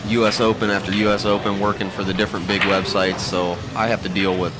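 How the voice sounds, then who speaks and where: A man's voice: lowish voice, little pitch movement, fast talker, over loud music. An American man from Ohio giving casual live sports commentary in a chatty, folksy, slangy way. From Ohio, USA. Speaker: pool match commentator.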